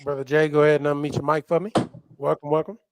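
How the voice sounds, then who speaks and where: An American man co-hosting a call-in stream, relaxed and affable, calling guests brother and sis. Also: fairly high pitch, fast, noisy background. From United States. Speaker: livestream co-host. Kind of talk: casual call-in moderation.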